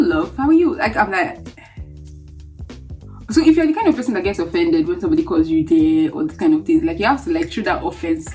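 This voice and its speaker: A woman in her early twenties with a Nigerian accent, chatting casually as a vlogger and telling stories in an animated, exclamatory way. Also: on the low side. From Lagos, Nigeria. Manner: animated, exclamatory, acting out reactions. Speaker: vlogger, international student. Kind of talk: casual chatty storytelling to camera.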